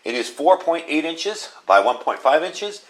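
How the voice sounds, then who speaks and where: A man's voice: fairly high pitch, big pitch swings, unhurried. A man giving a casual, plain-spoken product review in a small room. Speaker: host reviewing gadgets. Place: small indoor room at a tabletop.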